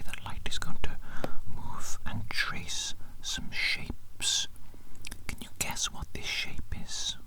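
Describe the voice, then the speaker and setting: A performer voicing an eccentric, bossy evil doctor in a breathy whisper for an ASMR medical roleplay, theatrical and mock-formal, repeating phrases. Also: lightly acted, rough recording. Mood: coaxing, patient. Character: eccentric evil doctor, bossy, easily offended, gleefully fascinated.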